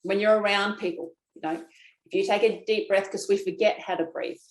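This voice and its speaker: A woman's voice: mid-range voice, a little fast, echoey room, poor audio. A woman teaching a guided self-massage class, chatty and folksy, talking straight to her viewers. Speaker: lymphatic drainage instructor.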